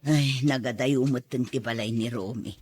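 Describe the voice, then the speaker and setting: A woman playing an older single auntie in a radio drama, with an Ilocano accent and a husky voice. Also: extremely low pitch, fairly even intonation, normal speed, a little mellow, no echo. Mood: wistful, curious. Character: older aunt, lonely single woman seeking old flame.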